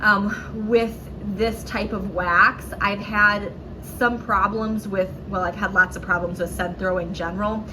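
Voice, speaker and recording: A woman talks through a candle review from home in a loose, chatty way, filling pauses with "um" and correcting herself as she goes. A cold leaves her a touch nasal and sore-throated. Her pitch sits in the middle, and a steady hiss runs under the recording. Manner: chatty, lots of "um"s, self-correcting. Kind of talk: casual product review vlog. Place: at home indoors.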